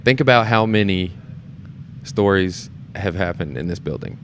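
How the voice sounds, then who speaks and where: Deep voiced guy as a podcast guest, casual and earnest, noticeable noise in the background. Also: expressive, moderate pace. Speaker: podcast guest, theater performer and board member. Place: shared studio space in a theater.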